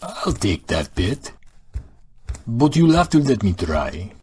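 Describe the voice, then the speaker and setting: Guy acting a cruel audio drama henchman, real raspy, voice swings around, says little. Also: lowish voice, big pitch swings, takes it slowly, darkish tone, heavily acted. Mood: sly, menacing. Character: cruel, laconic male henchman of an interrogator.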